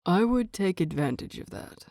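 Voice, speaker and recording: A woman's voice: lowish voice, animated, a little mellow, dry recording, studio quality. Woman voicing a stern, formal older male teacher. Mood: measured. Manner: measured, formal, reserved.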